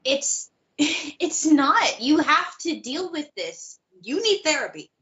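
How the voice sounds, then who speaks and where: Woman acting exasperated caring friend, higher voice. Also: sing-song, takes it slowly, bright, forceful tone, full character voice. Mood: concerned, pleading. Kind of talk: table read of a stage play. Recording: dull, muffled recording.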